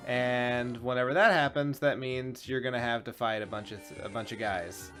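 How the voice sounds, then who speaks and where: Male gaming co-host, medium pitch, loose profane play-by-play, light game music underneath. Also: normal speed, fairly bright tone. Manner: informal, profane. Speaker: video game show co-host and player. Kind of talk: casual gameplay commentary.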